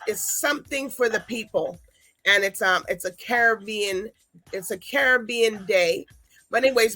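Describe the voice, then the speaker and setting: A woman hosts a talk show with a bright, forceful voice, rambling casually from thought to thought. She speaks over faint background music. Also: mid-range voice. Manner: rambling, loose and chatty. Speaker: show host.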